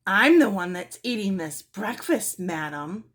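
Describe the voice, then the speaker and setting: A woman's voice: mid-range voice, expressive, unhurried, fairly bright tone. A woman voicing an impudent, sulky little schoolgirl who talks back in a storybook. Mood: sulky, defiant. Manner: defiant back-talk.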